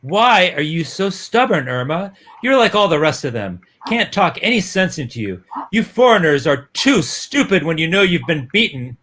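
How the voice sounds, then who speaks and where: A man playing a lovestruck, hot-headed young boyfriend in a romance comic reading, with halting, melodramatic delivery. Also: on the high side, sing-song, fairly bright tone. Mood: frustrated.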